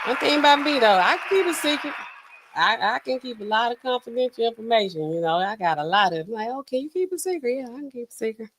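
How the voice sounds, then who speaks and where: A woman, hosting a casual livestream and chatting through viewer comments in a sassy, animated way. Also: on the high side, quick pace, slight background noise, poor audio. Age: 40s. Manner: chatty, sassy, animated swings between loud and hushed. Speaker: livestream host.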